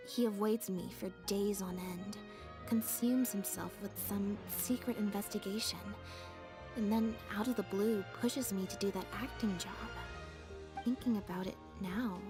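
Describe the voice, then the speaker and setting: Reserved, soft-spoken young woman played by an actress. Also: mid-range voice, close to an everyday voice. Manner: understated, measured, soft-spoken. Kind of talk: scripted video game voice acting. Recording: game audio under clear music. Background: background music.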